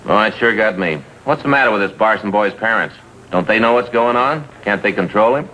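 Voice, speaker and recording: A man's voice: on the low side, fairly quick, bright, forceful tone. A man playing a concerned, courteous American detective in a 1950s police drama, with polite, probing delivery. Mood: exasperated. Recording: noisy vintage TV soundtrack.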